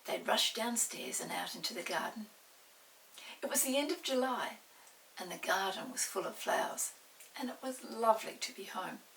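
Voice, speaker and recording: A woman reads a children's storybook expressively. Her voice is bright and at times turns breathy. The mic sounds slightly distant, with a faint hiss underneath. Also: mid-range voice, animated, moderate pace.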